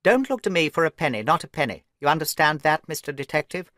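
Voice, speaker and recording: A man voicing a miserly, irritable little old lord with a sharp, crackling voice and a blustering manner. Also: lively intonation, fast, no room sound. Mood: shrill angry. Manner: blustering, shrill when angry.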